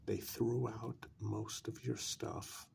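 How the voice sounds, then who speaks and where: Vampire envoy, played by a man: lowish, heavily fried soft speech, deliberate and frank, for an intimate ASMR role-play. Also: little pitch movement, slight background noise, heavily acted. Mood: frank, pressing. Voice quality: gravelly, breathy soft voice. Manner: slow, deliberate, with long pauses and firm emphasis.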